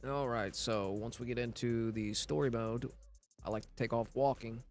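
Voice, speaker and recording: A man's voice: mid-range voice, steady tone, normal speed. A man with a scratchy voice narrating a casual step-by-step game tutorial, walking through each step plainly. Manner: instructional, walks through steps plainly. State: scratchy voice from recovering illness.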